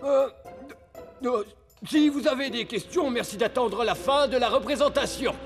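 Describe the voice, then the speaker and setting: A man's voice: very high-pitched, moderate pace. A man voicing a gleeful, scheming cartoon villain posing as a show host, with theatrical, gloating delivery. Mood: falsely welcoming. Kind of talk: cartoon dubbing. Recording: music behind.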